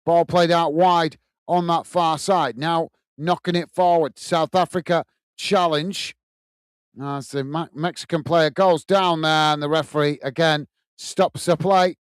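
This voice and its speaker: A man's voice: fairly high pitch, steady tone, measured pace, clear, resonant tone, no echo. An English man hosting a live football watchalong, calling the play steadily and reading out chat comments. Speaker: watchalong host.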